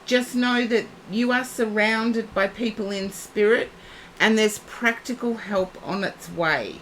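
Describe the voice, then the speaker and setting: A woman giving a psychic reading on a live stream, soothing and reassuring, her voice going husky and hoarse. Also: medium pitch, unhurried, fairly bright tone, a little hiss or hum, low-quality recording. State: losing her voice.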